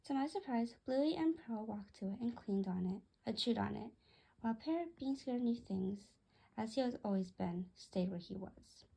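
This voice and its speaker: A woman narrates a read-aloud story in a high, soft-toned voice with noticeable creak. It is a little husky, and she now and then stumbles and corrects a word. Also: expressive, moderate pace, rough recording. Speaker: author reading own story aloud.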